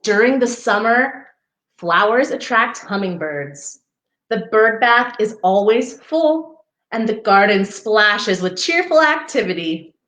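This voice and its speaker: Lady reading a kids picture book out loud, takes her time, really up and down voice, chats to the little ones, bit echoey. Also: medium pitch, takes it slowly. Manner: expressive, addressing young listeners. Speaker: storybook reader for a parks program. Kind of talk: children's picture book read-aloud with chatty asides. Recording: roomy, echoing sound.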